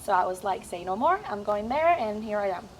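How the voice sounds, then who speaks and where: A young woman tells her story casually, pausing often on "um". Her voice is mid-pitched, with a light hum of noise in the room. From Puerto Rico. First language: Spanish. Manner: relaxed, storytelling, with hesitant "um"s. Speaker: veterinary student. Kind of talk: casual group interview.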